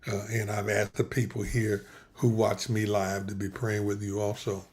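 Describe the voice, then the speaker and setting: A pastor hosts a casual livestream from his computer setup. His voice sits low and sounds gravelly, and he is losing his voice. He wanders and restarts his sentences as he talks. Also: little pitch movement, darkish tone. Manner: rambling, halting, many restarts. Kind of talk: casual livestream talk with prayer.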